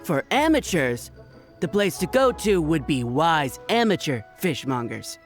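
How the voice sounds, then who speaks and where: An actor playing a curt, condescending professional fishmonger in a video game, with music behind him. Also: male voice, fairly high pitch, very animated, unhurried. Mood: snooty, dismissive. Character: curt, aloof professional fishmonger man, dismissive of amateurs. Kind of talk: video game character acting.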